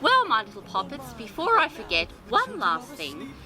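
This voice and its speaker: Woman storyteller with wildly swooping pitch. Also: huge pitch swings, moderate pace, over music. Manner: doting, sing-song, theatrical. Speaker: children's storyteller host. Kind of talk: storytime narration addressed to small children.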